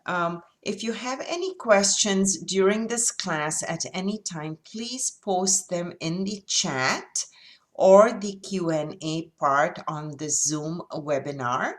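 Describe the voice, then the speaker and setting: A woman moderating a video call class, making measured announcements and introducing the instructor. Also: lowish voice. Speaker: event moderator.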